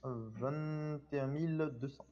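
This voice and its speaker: A man's voice: a little high, fairly even intonation, a little slow, mellow. A man in casual online police role-play, hesitant and muttering as he works things out. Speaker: player acting as a police sergeant. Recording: reverberant, slightly dull gaming voice chat.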